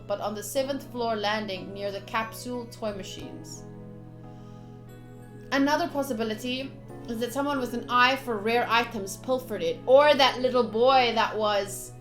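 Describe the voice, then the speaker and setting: A young woman with a fairly high voice narrates a game playthrough. She reads on-screen text expressively and drops into chatty asides, with game music behind her. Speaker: game streamer. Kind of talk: playthrough commentary, reading game text aloud.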